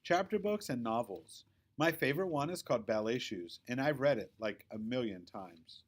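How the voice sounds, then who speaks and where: A man's voice: medium pitch, expressive, moderate pace, close to an everyday voice. A man voicing a bossy, snobbish young girl with a haughty, emphatic manner in a children's storybook. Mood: snooty. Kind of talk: children's storybook read-aloud.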